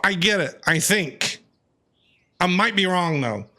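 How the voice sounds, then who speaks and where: Animated, bright-voiced male game streamer. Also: high-pitched, slow. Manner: animated, exclamatory, joking. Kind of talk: casual playthrough commentary.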